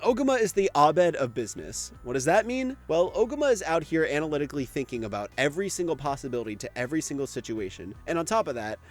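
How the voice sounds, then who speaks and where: A man's voice: on the high side, sing-song, quick pace, hardly any pauses, soft background music. A man giving casual scripted commentary for a video essay, in an informal, conversational way with the odd swear word.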